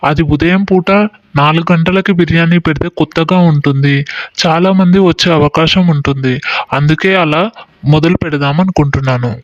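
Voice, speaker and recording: A man's voice: a little high, no room sound, muffled recording, natural voice. A man voicing an earnest, restless young husband with business dreams in an acted moral story. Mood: eager.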